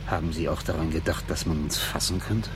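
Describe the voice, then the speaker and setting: An actor playing an anxious, polite, ageing chemist and fearful accomplice in a 1970s German radio play. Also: male voice, a bass voice, a little fast, noisy background. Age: older. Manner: anxious, formal, deferential.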